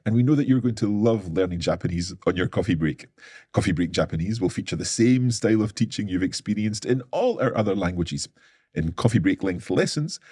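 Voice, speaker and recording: Husky-voiced man announcing news, upbeat. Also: lowish voice, a little mellow, no echo, clean studio sound. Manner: upbeat, enthusiastic presenter. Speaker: company presenter. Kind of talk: scripted announcement presentation.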